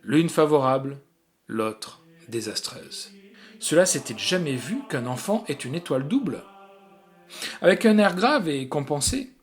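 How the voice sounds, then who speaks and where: A man narrating a literary short story in an expressive, theatrical way with deliberate phrasing. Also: mid-range voice.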